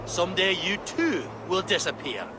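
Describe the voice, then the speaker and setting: A man's voice: high voice, big pitch swings, normal speed, background noise. An actor playing a proud, defiant Pictish war leader in a video game, declaiming his lines. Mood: proud defiance. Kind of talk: dramatic video game acting. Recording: clear outdoor ambience behind voice.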